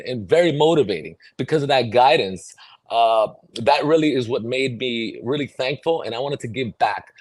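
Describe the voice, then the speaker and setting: A man's voice: medium pitch, no echo. A middle-aged man raised in New York City, born in El Salvador, explaining things earnestly as an interview guest. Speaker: podcast guest, mobility fitness trainer. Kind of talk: conversational interview answers.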